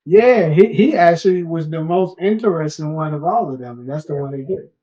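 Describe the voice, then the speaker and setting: A man co-hosting a casual TV show discussion, relaxed and quick to laugh, reading out viewer comments. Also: on the high side, dull audio. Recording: faint music behind.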